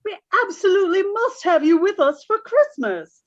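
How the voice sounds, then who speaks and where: A woman playing a gently chiding society lady in a radio suspense drama, speaking with teasing emphasis. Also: very high-pitched, very animated, normal speed, lo-fi sound. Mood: playfully insistent. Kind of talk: theatrical radio suspense acting.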